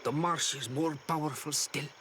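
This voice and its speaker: An actress playing an enigmatic, forgetful old island hermit woman in a video game, musing in halting phrases. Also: low-pitched, fairly even intonation, a bit bright, slight background noise. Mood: detached. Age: older. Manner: slow, cryptic, musing, halting. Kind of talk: video game character acting.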